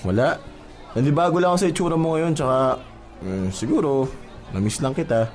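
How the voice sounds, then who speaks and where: A man's voice: medium pitch, animated, fairly quick, a little mellow, soft background music, lightly acted. A man playing an awkward, contrite garbage collector in an audio drama, talking hesitantly to a woman he likes. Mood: sheepish, contrite. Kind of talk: radio-drama style acting.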